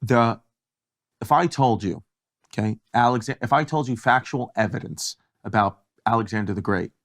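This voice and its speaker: A man's voice: mid-range voice, normal speed. A man appearing as a guest on a talk show, speaking in an earnest, insistent way.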